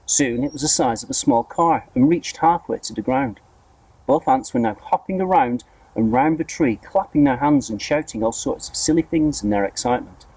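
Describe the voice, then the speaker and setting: A man reading a children's story aloud in an expressive narrating style. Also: medium pitch, moderate pace, breathy edge, poor audio. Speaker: storybook reader, school staff member.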